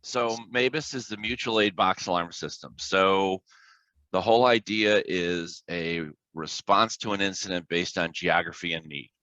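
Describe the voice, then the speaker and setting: Male guest, American, very low pitch with a forceful edge, informal explainer on a dry, slightly dull recording. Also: normal speed, dry recording. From Illinois, United States. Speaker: podcast guest, fire dispatcher. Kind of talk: casual explanatory interview talk with anecdotes.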